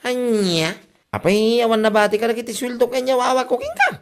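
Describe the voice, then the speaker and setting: A man's voice: very high-pitched, very animated, brisk, very noisy. Man acting an exasperated, scolding Ilocano husband. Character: exasperated husband scolding his spendthrift wife Anita. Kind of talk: comedy radio drama acting. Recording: music behind the voice.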